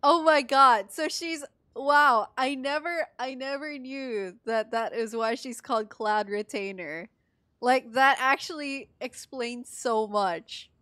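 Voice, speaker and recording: A woman's voice: high-pitched, slow pace, sharp tone, dry recording, clean studio sound. A woman from the Philippines giving casual, reactive, giggly live commentary as a gameplay streamer. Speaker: streamer, gaming content creator.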